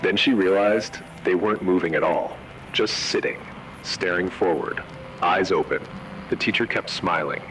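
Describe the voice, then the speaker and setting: A man voicing a grave emergency announcer in an analog horror video, giving measured, solemn warnings. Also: deep voice, fairly bright tone, slight background noise, poor audio. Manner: measured, ominous, short solemn sentences. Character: grave male emergency broadcast announcer issuing eerie warnings. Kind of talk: analog horror scripted narration.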